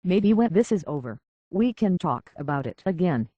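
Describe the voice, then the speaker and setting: An actress playing an earnest, conflicted young woman in a video game, speaking hesitantly and with a soft heart. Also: on the low side, sing-song, moderate pace, dry recording, dull audio. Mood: resolved. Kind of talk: video game character dialogue.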